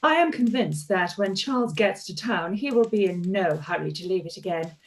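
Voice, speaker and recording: A woman's voice: mid-range voice, expressive, normal speed, lightly acted, low-quality recording. A woman playing a smug, falsely affectionate society lady reading out her letter in a period radio play, affected and formal. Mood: smug politeness.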